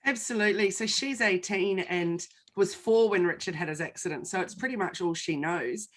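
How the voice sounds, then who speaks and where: A woman in her forties, a guest in a conversation, telling personal stories in an animated, expressive way. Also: mid-range voice, normal speed, slight background noise.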